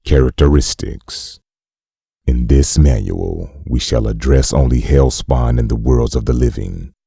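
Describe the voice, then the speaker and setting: Very deep gravelly male actor as grave manual narrator. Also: mellow, dry recording, studio quality, exaggerated voice. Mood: cold, instructive. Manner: measured, formal, instructional. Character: grave, clinical narrator reading a demon-hunting manual. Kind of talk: scripted narration.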